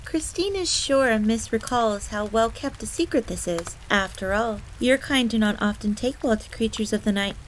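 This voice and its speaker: A woman voicing an excitable, devoted fox maid who tells her story theatrically in the third person. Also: fairly high pitch, normal speed, hardly any pauses, slight background noise, close to an everyday voice, rough recording. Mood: devoted, proud. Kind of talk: in-character storytelling audio roleplay. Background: background ambience.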